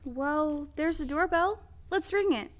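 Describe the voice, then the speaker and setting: Woman playing an eager, very high-voiced young girl. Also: a little slow. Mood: excited, curious. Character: Dorothy, polite, brave, eager young girl. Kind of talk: stage-style audio drama acting.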